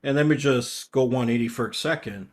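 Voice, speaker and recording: A man's voice: mid-range voice, fairly quick. A man talking casually as a podcast guest, blunt and outspoken, rambling with frequent restarts. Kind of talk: casual conversation.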